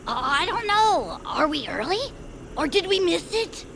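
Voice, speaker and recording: A woman acting an eager, loyal, adventurous young friend with a gravelly voice and earnest, folksy, old-fashioned slang in an audio drama. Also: very high-pitched, sing-song, measured pace, a bit bright, slight background noise, heavily acted. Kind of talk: audio fiction acting in a quaint old-radio manner.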